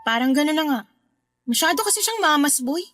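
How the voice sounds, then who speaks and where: An actress playing a stubborn, fed-up young adult daughter in a radio drama, with a snippy, complaining manner. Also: female voice, high voice, lively intonation, a little fast, fairly bright tone, faint music behind. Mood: weary, resentful.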